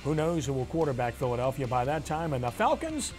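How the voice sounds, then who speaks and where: A man's voice: mid-range voice, very animated, fairly quick, faint music behind. A man hosting a sports show, speaking in punchy, clipped announcer phrases. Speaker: sports talk show host. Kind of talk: sports show commentary and read promos.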